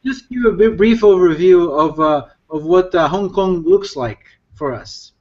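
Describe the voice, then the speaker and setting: A man asking interview questions in a halting way, repeating words with many "uh"s. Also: sits high, darkish tone. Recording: muffled lo-fi recording.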